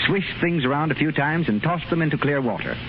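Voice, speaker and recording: Animated male announcer, muffled old radio. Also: on the high side, fast. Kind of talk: 1930s scripted radio advertising. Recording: muffled, noisy 1930s radio broadcast.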